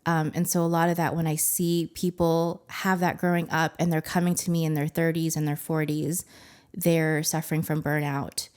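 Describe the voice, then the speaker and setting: Earnest woman therapist, slightly low voice. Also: level tone, moderate pace, a little mellow, smooth. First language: Cantonese. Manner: earnest, explanatory, searches for words mid-sentence. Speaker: psychotherapist guest. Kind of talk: casual interview conversation.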